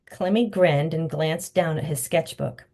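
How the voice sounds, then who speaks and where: A woman's voice: sits low, measured pace. A woman author reading her children's novel aloud, telling the story expressively. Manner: expressive storytelling, acting out the dialogue.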